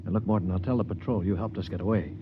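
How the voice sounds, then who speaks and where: A man's voice: low voice, racing, narrow, tinny audio, close to an everyday voice. A man acting as a desperate, indignant young kidnapped American in a 1950s radio drama. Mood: tense, urgent. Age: young adult. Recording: noisy old 1950s radio recording.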